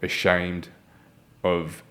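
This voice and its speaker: A man's voice: low-pitched, monotone, drawn-out words, a bit bright. A man, hosting an interview in an earnest, encouraging way and often adding "right?". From Malaysia. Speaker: podcast host. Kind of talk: casual interview chat.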